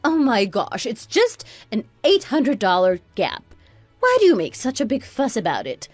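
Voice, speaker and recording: Woman acting a spiteful, animated mother-in-law in her sixties. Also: on the high side, normal speed, dry recording, soft background music. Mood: scolding, contemptuous. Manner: berating, condescending, dramatic exclamations. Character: spiteful, self-righteous 62-year-old mother-in-law, scolding. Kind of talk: scripted radio drama dialogue.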